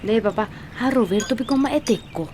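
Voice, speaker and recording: A woman acting as a young Paraguayan daughter in a radio drama, with a high voice and a direct, questioning way of talking. Clear rural background noise surrounds her. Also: lively intonation, moderate pace, lightly acted. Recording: noisy rural ambience.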